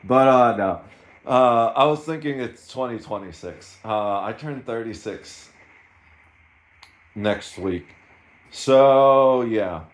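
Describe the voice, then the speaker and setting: A man's voice: medium pitch, slow. A man in his thirties talking in a rambling, self-mocking way in a casual solo vlog. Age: 30s. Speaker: streamer.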